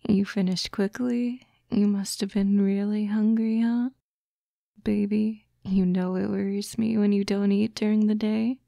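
Woman doing a mommy fox girlfriend asmr, breathy n cooing, bit of fry, really close dry mic. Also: medium pitch, level tone, no echo. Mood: tender, concerned. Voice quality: soft, breathy, often whispering. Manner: slow, coaxing, cooing. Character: doting, teasing motherly fox-girl girlfriend, soothing and protective. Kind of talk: intimate ASMR roleplay. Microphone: close, dry microphone.

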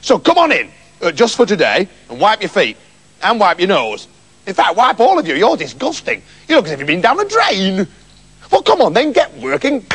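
A man's voice: high-pitched, huge pitch swings, normal speed, a bit bright, husky, exaggerated voice. A man voicing a rude, bossy palace cook who scolds a new servant. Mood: scolding. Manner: brusque, scolding.

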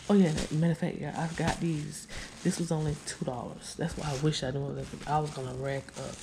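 Woman, 30s, gravelly, chatty and weary, close handheld camera, living room. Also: low voice, flat delivery, fairly quick, background noise. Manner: chatty, tired, addresses viewers as y'all. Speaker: vlogger. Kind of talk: casual chat to viewers. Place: living room, on couch, indoors. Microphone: handheld camera at close range.

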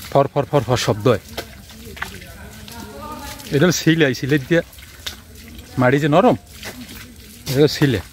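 A man's voice: mid-range voice, measured pace, pauses often, slight background noise. A young man filming as he walks through a mangrove forest, asking short curious questions in casual chat. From Bangladesh. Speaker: vlogger filming a fishing trip. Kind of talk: casual chat while walking. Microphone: handheld phone camera.

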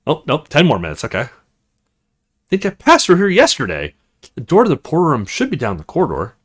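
A man playing a visual novel, commenting casually and reading lines aloud in an expressive, theatrical way. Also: fairly high pitch, brisk, breathy edge. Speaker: let's play streamer.

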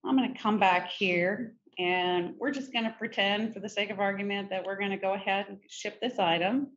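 A middle-aged woman presenting a software demo in a conversational, informal step-by-step tutorial style. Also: medium pitch, fairly even intonation, normal speed. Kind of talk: conversational screen-share tutorial. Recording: muffled, noisy screen-recording audio.

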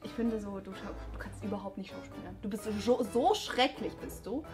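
A young adult woman making halting, improvised date conversation in a small studio. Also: medium pitch, over music. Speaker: performer in a comedy dating video. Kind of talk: improvised awkward date chat. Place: small indoor studio set.

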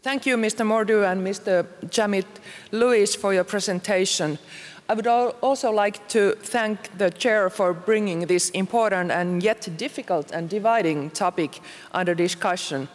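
A woman in her forties with a Finnish accent opens her address from a lectern with formal courtesy. Her pitch sits in a middle range. A long hall reverb trails each phrase. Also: moderate pace. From Finland. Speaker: parliamentary committee chair. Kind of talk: formal conference speech. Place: large conference hall, standing at a lectern. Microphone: lectern microphone.

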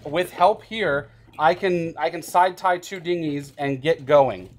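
A man's voice: fairly high pitch, unhurried, faint music behind. Man explaining boat work outdoors. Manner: practical, talks through each step while working. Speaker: sailing vlog host. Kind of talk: casual explaining on deck. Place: outdoors on a catamaran deck and dinghy. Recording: wind and water noise.

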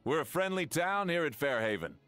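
A man's voice: on the high side, very animated, fairly quick, a bit bright, smooth, faint music behind. A man voicing an eager, pompous small-town mayor in a video game, effusive and self-important. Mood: effusive, self-satisfied. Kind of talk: video game character acting.

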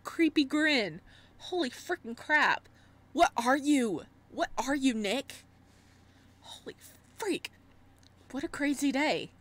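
High-voiced woman streaming a story game. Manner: expressive, animated reactions between read lines. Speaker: streamer, let's-player. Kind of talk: game playthrough, reading aloud visual novel text with reactions.

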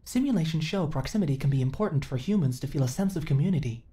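A woman's voice: deep voice, fast talker. A woman playing a female companion android in an ASMR audio roleplay, speaking in a stilted, literal, formal way, earnest and eager to serve. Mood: flat, hesitant. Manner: stilted, formal, literal, emotionally flat delivery.

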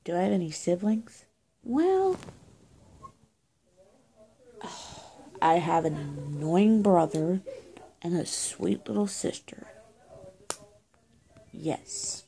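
A young adult woman doing a soft-spoken, improvised roleplay as a friendly good witch of high-school age, talking casually and haltingly to the viewer and often dropping to a near-whisper. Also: big pitch swings, vocal fry. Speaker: roleplay video creator. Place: small indoor room, plain walls. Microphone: phone or webcam at arm's length.

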